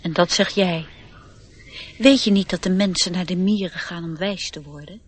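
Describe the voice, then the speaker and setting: An actress playing a knowing, gently superior elf guide in a radio play, instructive and slightly chiding. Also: female voice, fairly low pitch, normal speed, breathy edge.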